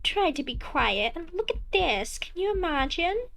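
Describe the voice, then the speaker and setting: A woman's voice: extremely high pitch, big pitch swings, unhurried, vocal fry, fairly bright tone, voice pushed up, exaggerated voice. A woman voicing a doting middle-aged mother returned from the dead, sweet and chirpy in a motherly way. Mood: sweetly cheerful.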